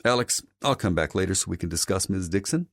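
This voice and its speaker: An earnest, loyal male security chief, acted by an audiobook reader. He speaks deferentially yet with caution, his pitch raised and lively, in a dry, close sound. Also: quick pace, no echo. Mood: discreet. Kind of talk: audiobook character dialogue.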